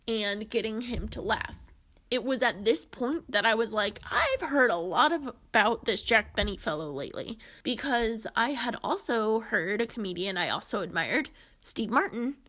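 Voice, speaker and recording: A woman in her twenties with a fairly high voice presents a scripted essay. She speaks as a narrator guiding viewers through her material. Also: lively intonation, measured pace, a bit bright. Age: 20s.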